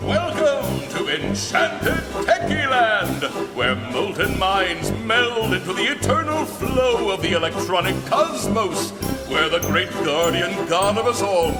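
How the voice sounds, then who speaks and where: High-pitched grandiose circus announcer. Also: male voice, exaggerated voice. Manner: florid, drawn-out, rousing delivery. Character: grandiose showman announcer introducing a techie circus act. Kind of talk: theatrical stage announcing. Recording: echoing show hall, music and crowd.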